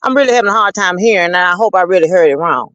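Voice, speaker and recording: A woman's voice: lowish voice, expressive, rapid-fire, fairly bright tone, no echo, dull audio. A woman calling in to a panel and arguing casually, insistent and indignant, dropping condescending endearments. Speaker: call-in panel guest. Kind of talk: heated casual argument.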